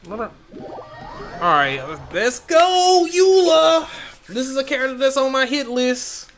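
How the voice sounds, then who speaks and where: High-pitched man doing casual game commentary. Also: lively intonation, slow pace, fairly bright tone, slight background noise. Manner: informal, thinking aloud. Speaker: gaming streamer. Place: behind: game music.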